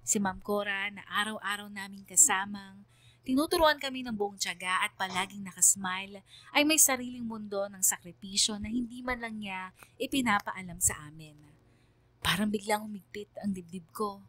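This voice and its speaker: A woman playing a reflective, empathetic student who narrates a radio drama in a measured, solemn way. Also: mid-range voice, steady tone, fairly bright tone, lightly acted. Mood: sombre, moved. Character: reflective student narrator, quietly empathetic toward her teacher. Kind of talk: scripted first-person radio drama narration.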